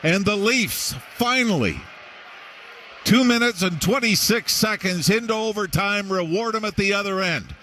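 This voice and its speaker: A man's voice: high voice, animated, unhurried, a little hiss or hum. A man working as a radio hockey analyst, breaking down the game in a conversational, analytical manner. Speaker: colour analyst. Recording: radio aircheck with loud background sound.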